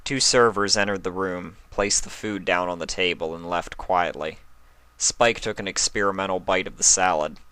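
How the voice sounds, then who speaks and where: A man's voice: low-pitched, normal speed, sharp tone, clear, resonant tone, no echo. A man reading a story aloud as a scripted third-person narrator. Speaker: fan fiction reader, narrator.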